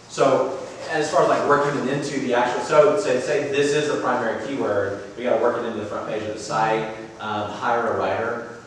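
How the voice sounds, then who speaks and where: A man giving a conference talk and taking questions, with a booming, loud voice and a relaxed, conversational manner. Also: a little hiss or hum. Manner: relaxed and conversational, with frequent asides. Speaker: conference speaker. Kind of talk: presenting a talk with audience questions. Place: conference session room. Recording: very echoey room, voice from far off.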